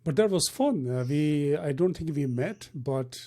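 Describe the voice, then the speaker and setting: Male interview host, friendly and chatty, warm mellow tone, a bit high. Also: expressive, unhurried. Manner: friendly, chatty, filler-heavy questions. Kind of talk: casual interview.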